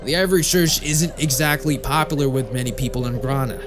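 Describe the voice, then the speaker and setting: An actor playing a hardened exorcist warrior, a blunt mentor who speaks in a weighty, lecturing way in an audiobook drama. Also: male voice, mid-range voice, brisk, music in the background, natural voice. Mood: stern, grave.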